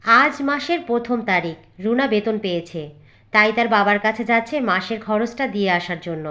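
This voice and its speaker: A woman's voice: medium pitch, fairly quick, sharp tone. A woman reading scripted narration for a cartoon moral story.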